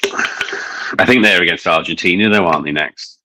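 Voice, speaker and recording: A man's voice: low-pitched, normal speed, noisy background. A man with a mild northern English accent, chatting casually while reviewing beer, with many fillers and hesitations. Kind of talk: casual chat.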